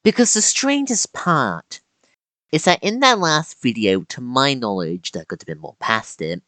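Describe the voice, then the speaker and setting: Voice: mid-range voice, big pitch swings, a little slow, sharp tone, dry recording. A YouTuber narrating a scripted video essay with casual asides and dramatic emphasis on key words.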